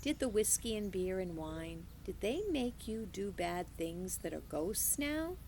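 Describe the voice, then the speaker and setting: Curious storybook girl, eager questions, animated pitch; woman reader voicing her. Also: a little slow, a little hiss or hum. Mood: earnest.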